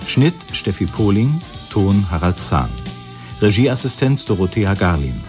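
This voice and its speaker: A man's voice: fairly low pitch, sing-song, unhurried. A man reading radio drama closing credits as a formal, neutral announcer. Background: guitar music.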